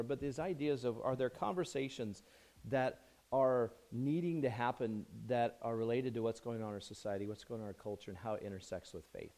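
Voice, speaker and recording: A man preaching a conversational sermon as pastor, hoarse from a chest cold, chatty and self-joking with frequent restarts. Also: mid-range voice, a little mellow.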